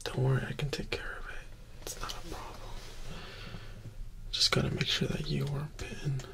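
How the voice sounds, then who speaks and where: A man in his twenties gently whispering reassurance up close in an ASMR role play, as a kind survivor caring for someone. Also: mid-range voice, little pitch movement, normal speed, gravelly. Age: 20s. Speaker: ASMR performer. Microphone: very close to the mic.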